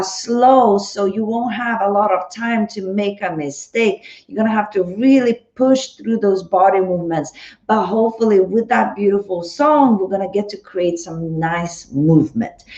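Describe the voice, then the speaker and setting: A woman's voice: on the high side, darkish tone. A woman dance instructor leading a live-streamed class, bubbly and greeting viewers by name, her voice a little worn as she is losing it. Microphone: phone. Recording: roomy, slightly dull sound.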